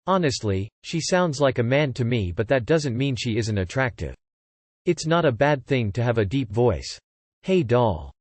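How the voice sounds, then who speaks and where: Male narrator, even and plain, dry booth sound, middle pitch. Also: no room sound. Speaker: reader of online comments. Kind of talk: scripted narration.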